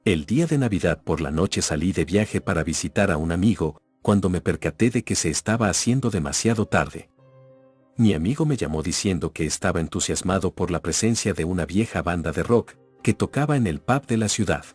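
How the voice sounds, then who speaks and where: A man narrating scripted horror stories in a measured, ominous storytelling manner. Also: low voice, lively intonation, a little mellow, slightly rough. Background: background music.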